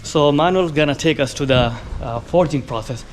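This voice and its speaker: A man from Pakistan vlogging a factory tour, talking casually and excitedly with hesitant restarts. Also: a little high, moderate pace. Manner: excited, hesitant, repeats "all right". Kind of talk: casual on-camera chat. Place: loud factory floor. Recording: loud machine noise behind.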